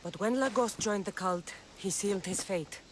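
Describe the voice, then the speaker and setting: A woman's voice: mid-range voice, normal speed, noisy background, lightly acted. A woman playing a blunt Spartan mercenary. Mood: determined. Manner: blunt, self-assured. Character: defiant female Spartan mercenary hero. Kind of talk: video game cutscene acting.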